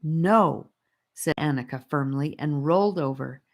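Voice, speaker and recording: Lively low-voiced woman reading a children's story. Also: unhurried. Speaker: audiobook narrator. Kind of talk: expressive children's story narration.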